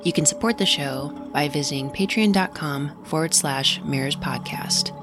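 A woman with a fairly low voice reads scripted credits as a podcast announcer. Loud atmospheric music plays behind her. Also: moderate pace.

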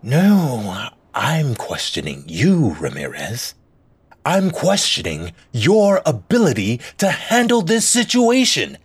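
Actor as domineering male mayor, theatrical and sardonic, slow, husky, extremely animated intonation. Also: mid-range voice, full character voice. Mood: menacing. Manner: sarcastic, theatrical, drawn-out delivery. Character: sarcastic, frazzled, domineering male small-city mayor. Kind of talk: scripted audio drama acting.

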